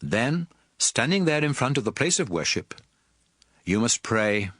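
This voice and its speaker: A man narrating scripture in a measured, authoritative manner for a dramatized audio production. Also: medium pitch, big pitch swings, a bit bright, no echo, studio quality. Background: music.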